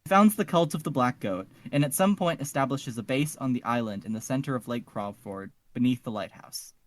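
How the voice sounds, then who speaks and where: A man's voice: medium pitch, expressive, brisk. A man co-hosting a podcast, casually discussing and summarizing fiction. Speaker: podcast co-host.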